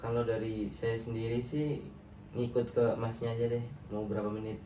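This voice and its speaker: A young man from Central Java with a Banyumasan Ngapak accent plays a student client, giving brief, agreeable, soft-spoken replies. His voice is mellow. The room is echoey, and he is far from the mic. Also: medium pitch, little pitch movement, normal speed. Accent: Banyumasan (Ngapak) Javanese. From Central Java, Indonesia. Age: young adult. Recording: roomy, distant mic with faint noise.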